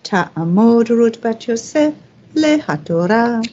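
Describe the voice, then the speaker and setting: A woman reading scripture aloud as a Torah reader, phrase by phrase in a careful, measured way. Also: on the high side, expressive, a little mellow. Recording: dull, lo-fi sound.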